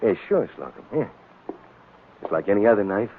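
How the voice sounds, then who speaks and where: Radio thug, low voice, cool greedy killer, casual menace, tinny old recording. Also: male voice, big pitch swings, moderate pace, a little hiss or hum. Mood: cool, menacing. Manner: casual, matter-of-fact menace. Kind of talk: 1940s radio acting.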